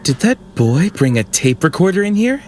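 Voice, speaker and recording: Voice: medium pitch, big pitch swings, normal speed, darkish tone, slight background noise, lightly acted. An actor playing an uneasy, bewildered executioner's assistant in an audio drama, diffident and muttering.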